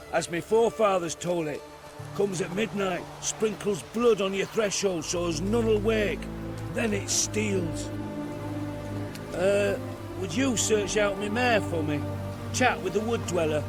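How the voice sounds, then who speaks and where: High-voiced, plaintive peasant. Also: male voice, measured pace, music in the background. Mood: fearful, plaintive. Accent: rural British. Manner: rustic, plaintive, folksy. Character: humble, superstitious peasant man who keeps horses. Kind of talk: video game cutscene acting.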